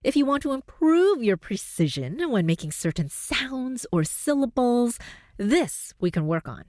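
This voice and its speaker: A woman's voice: big pitch swings, measured pace, dark tone, no echo, studio quality. Upbeat Canadian woman with a neutral accent. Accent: neutral North American. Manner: upbeat, encouraging, with big jumps in pitch for emphasis. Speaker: podcast host and voice coach. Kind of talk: scripted podcast monologue.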